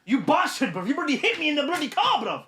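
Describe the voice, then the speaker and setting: Comic bit: a man doing a hotheaded ranter, high and bright, yelling. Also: very animated, fast talker, echoey room, full character voice. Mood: angry ranting. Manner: ranting, swearing, repeating "bro". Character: hotheaded angry man in a put-on accent.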